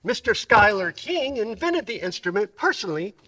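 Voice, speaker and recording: A comic radio sidekick with a high, husky voice. He is humbly pompous and flowery, his pitch swooping through over-formal phrases. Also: big pitch swings, moderate pace, sharp tone, full character voice. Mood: pompously humble. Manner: ornate, over-formal speech. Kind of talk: live old-time radio character acting.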